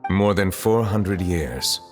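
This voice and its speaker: Casting: ageless, solemn game judge, low-voiced, formal and humble, in a reverberant hall. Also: male voice, lively intonation. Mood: guarded. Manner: formal, measured, courteous. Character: centuries-old, dignified, reserved male chief justice, humble and solemn. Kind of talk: video game voice acting. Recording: reverberant hall, music underneath.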